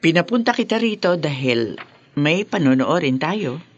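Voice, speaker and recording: Bossy older madam in radio drama, deep female voice, cool n in charge, bit dull audio. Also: sing-song, normal speed. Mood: cool, controlling. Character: commanding older female bar boss, cool and controlling.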